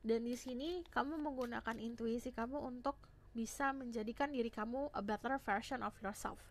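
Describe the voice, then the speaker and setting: A young woman with a hoarse voice, chatting casually as she gives a tarot reading to her viewers. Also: fairly high pitch, normal speed, a little hiss or hum. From Indonesia. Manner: chatty, switches between Indonesian and English phrases. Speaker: tarot reader.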